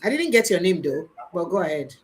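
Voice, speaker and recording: A woman's voice: lowish voice, sing-song, a little fast. A woman with a Nigerian accent hosting a live election call-in, switching between English and Nigerian Pidgin. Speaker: host of a live call-in show.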